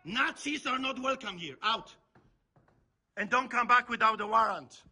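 A pastor from Poland shouting furious, defiant commands in a confrontation clip. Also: male voice, very high voice, moderate pace, breathy edge.

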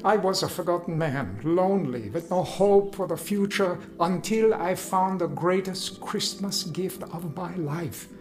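Earnest guy who used to be homeless, slightly high voice, testifying in a radio play over music. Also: a little slow, hardly any pauses. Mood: heartfelt testimony. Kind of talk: radio drama narration and testimony.

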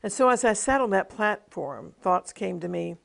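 A woman's voice: medium pitch, expressive, normal speed, gravelly, a bit bright. A middle-aged woman television minister giving a devotional teaching with a personal testimony, in an earnest, emphatic preaching cadence.

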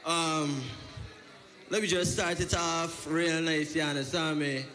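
High-voiced Caribbean man telling a story. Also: steady tone, slow pace, sharp tone. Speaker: poet. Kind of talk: conversational spoken-word storytelling.

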